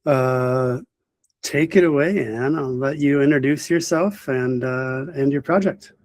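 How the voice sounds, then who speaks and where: A man acting as moderator, handing the floor to the presenter with slow, hesitant words. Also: mid-range voice, darkish tone, dry recording, studio quality. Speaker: event moderator.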